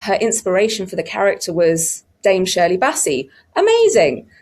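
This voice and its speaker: Woman, medium pitch, effusive and conversational panel guest, leans hard on her emphasis. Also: very animated, moderate pace, darkish tone, husky. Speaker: audiobook production team member on a panel. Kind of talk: enthusiastic panel interview talk.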